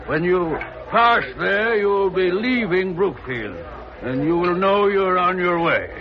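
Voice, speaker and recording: A man's voice: high voice, expressive, a little slow. An actor playing a hearty, boastful colonial townsman drinking in a tavern, in 1950s radio drama style, with formal old-fashioned phrasing. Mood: tipsy, genial. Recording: muffled vintage radio recording, tavern crowd.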